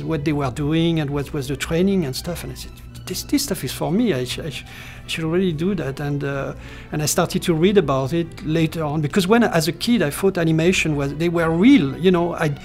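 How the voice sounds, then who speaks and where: A man's voice: on the high side, darkish tone. A middle-aged man from France with a French accent, recalling his career in an interview with many fillers and restarts. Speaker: animation character designer. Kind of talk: talking-head interview, recollections.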